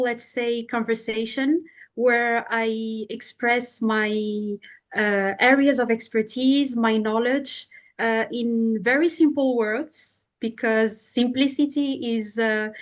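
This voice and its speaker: A woman with a French accent, a consultant guest in a podcast interview, explaining things in simple words with frequent "uh" fillers. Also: on the high side.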